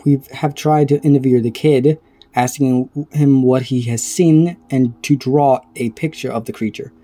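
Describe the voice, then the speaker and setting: A man giving casual reaction commentary, reading aloud haltingly and stumbling over words between offhand remarks. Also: mid-range voice, steady tone, mellow, soft background music. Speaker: reaction video creator.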